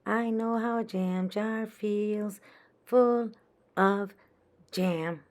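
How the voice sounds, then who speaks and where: A woman puts on a picky little girl critter from a storybook. She is plaintive and sing-song, pitched up and drawing her words out slowly. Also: fairly even intonation.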